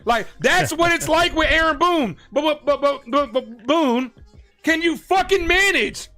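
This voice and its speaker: A man's voice: very high voice, expressive, a little slow, sharp tone, soft background music. A man ranting in a sports commentary clip, swearing and stammering mockingly for effect.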